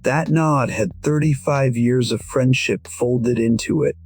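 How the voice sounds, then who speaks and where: A man voicing a weary, proud musician in his sixties, narrating an audio drama in a measured, reflective way. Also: medium pitch, slightly rough, soft background music. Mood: quiet regret. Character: weary, proud 62-year-old male musician, quietly regretful. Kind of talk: first-person scripted narration, audio drama.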